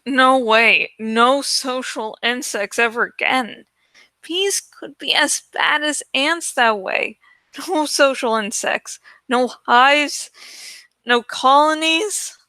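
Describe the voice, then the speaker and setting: A woman reading the part of a reluctant, exasperated teenage boy in a storybook, halting and plaintive. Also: high-pitched, sharp tone, dry recording, pitched above the performer's own voice, full character voice. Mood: adamant.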